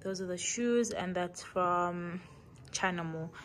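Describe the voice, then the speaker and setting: A woman's voice: on the low side, slow. Chatty woman vlogging. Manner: chatty, rambling, thinks aloud. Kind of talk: casual vlog chat to camera. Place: at home, partly in a kitchen. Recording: home video with steady background noise.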